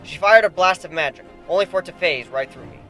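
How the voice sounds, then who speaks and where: A man giving an animated, theatrical dramatic reading of a first-person fan fiction story. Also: high-pitched, fast talker. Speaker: amateur story narrator. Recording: background music under the voice.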